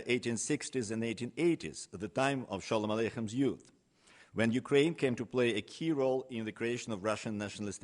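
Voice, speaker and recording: A man lecturing as a professor at a conference, partly reading from notes, with frequent hesitations. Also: mid-range voice.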